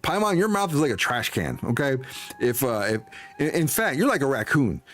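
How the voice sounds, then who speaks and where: A man's voice: medium pitch, big pitch swings, fairly quick, a bit bright. A man livestreaming a game, chatting casually with rambling, teasing commentary. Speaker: game streamer. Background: game music.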